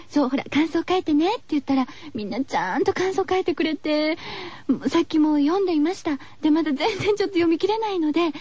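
A woman's voice: high voice, a little mellow, no echo. A woman hosting a radio show, chatting casually to her listeners in a cutesy, girlish way. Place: radio studio. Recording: slightly dull, lo-fi radio recording.

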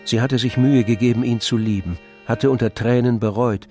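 A man's voice: medium pitch, fairly even intonation, moderate pace, darkish tone. A man narrating a literary radio play from a script. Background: faint instrumental music.

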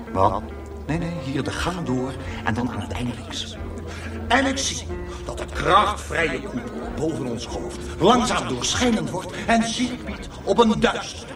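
A man playing an oily, grandiose showman, hosting a doomsday dinner show with drawn-out, jokey patter in a radio play. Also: medium pitch. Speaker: master of ceremonies. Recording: echoey hall, distant mic, loud music, crowd.

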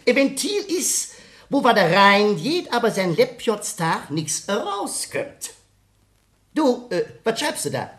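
A man's voice: high voice, big pitch swings, takes it slowly, a bit bright, full character voice. An actor playing a cranky, folksy male physics teacher with a Rhineland German accent in a radio play, scolding and rambling. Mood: gruff, mocking.

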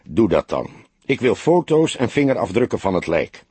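Man doing a gruff, husky old detective. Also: lowish voice, sing-song, normal speed, darkish tone, no room sound. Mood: gruff, resigned. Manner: grumbling, curt, weary. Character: grumpy, sleepy older male detective, veteran mentor.

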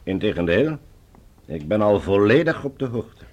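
A low-voiced, slightly rough villain for a science fiction radio play. He is a Martian supreme leader, cold and suspicious. He gives orders curtly and with sarcasm. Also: sing-song, normal speed. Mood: cold, sardonic. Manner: curt, imperious, sarcastic. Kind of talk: Dutch science fiction radio drama acting.

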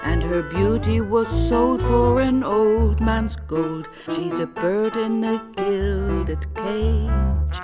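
A woman singing a sentimental old-time ballad with a breathy voice. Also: mid-range voice, drawn-out words, few pauses, over loud music.

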